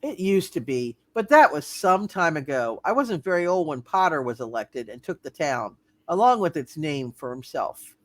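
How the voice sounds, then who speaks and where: A woman's voice: low-pitched, big pitch swings, moderate pace, no echo. A woman playing a prim, wary spinster librarian in a hammed-up radio play reading. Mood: wary but helpful.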